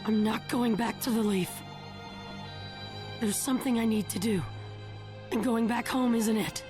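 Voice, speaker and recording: A woman voicing a stubborn, earnest teenage ninja boy in an anime game, with a raspy, boyish voice. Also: fairly high pitch, steady tone, a little slow, lightly acted. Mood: troubled resolve. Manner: earnest, determined, emphatic. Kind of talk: English anime game voice acting. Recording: music behind the voice.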